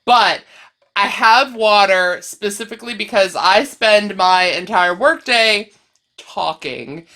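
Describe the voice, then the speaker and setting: A chatty woman talks her viewers through a crochet project, her bright voice a little scratchy and tired after a long day of talking. She speaks slowly and easily and often stops to laugh at herself. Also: medium pitch, animated. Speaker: crochet video host. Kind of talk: casual crochet chat vlog.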